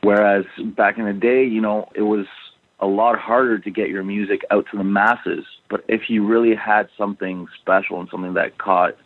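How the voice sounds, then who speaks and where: A man from Poland, a band's bassist, answering interview questions casually with many "you know" fillers over a phone line. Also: fairly even intonation, moderate pace. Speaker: bassist and backing vocalist, guest. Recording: tinny phone line, dry.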